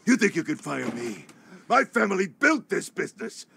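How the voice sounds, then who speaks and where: An actor playing a blustering, indignant company tycoon in a video game cutscene. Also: male voice, high voice, very animated, moderate pace, gravelly, sharp tone, a little hiss or hum, exaggerated voice. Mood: outraged. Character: ornery male company tycoon, bullish and indignant.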